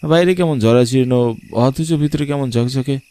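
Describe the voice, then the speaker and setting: A man voicing an uneasy city gentleman back in his village, polite and questioning, in a cartoon. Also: medium pitch, normal speed, no room sound, voice pushed up, natural voice. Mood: uneasy, doubtful. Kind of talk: cartoon dialogue. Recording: background music, night insects.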